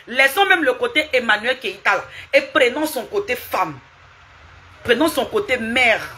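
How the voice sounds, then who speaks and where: A woman's voice: a little high, very animated, unhurried, sharp tone, a little hiss or hum. A woman live streaming, lecturing and scolding someone with repeated rhetorical questions and asking viewers for hearts.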